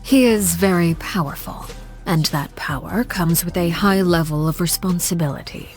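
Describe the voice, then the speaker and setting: A woman plays a commanding, aloof woman from a video game, with a fairly low voice. She delivers formal monologues in a measured, composed way with quiet menace. Music plays underneath. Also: lightly acted. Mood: cool, measured. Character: cold, composed, commanding woman, a Harbinger, judging her colleagues.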